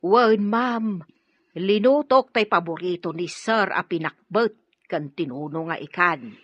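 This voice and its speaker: A nervous, eager-to-please housemaid who talks slowly in a lowish, husky voice. The sound is dry and a little lo-fi. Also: female voice, no room sound. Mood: dutiful. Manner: deferential, flustered. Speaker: actress in an audio drama. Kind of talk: radio drama acting.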